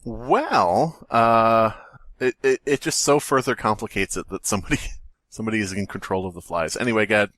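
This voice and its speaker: A man's voice: lowish voice, normal speed, a bit bright, no echo. A man hosting a podcast, wisecracking in casual comic banter. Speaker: podcast host.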